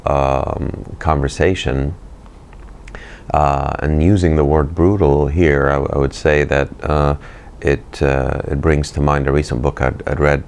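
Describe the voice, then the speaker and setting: A middle-aged man, a poet and physician, talking in a recorded interview, thoughtful and halting with many fillers. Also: very deep voice, creaky, darkish tone.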